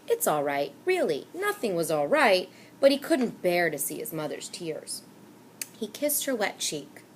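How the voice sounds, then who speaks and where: Expressive woman reading a children's book. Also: mid-range voice, slight background noise. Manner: expressive, animated storytelling. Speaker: reader. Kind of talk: reading a children's novel aloud.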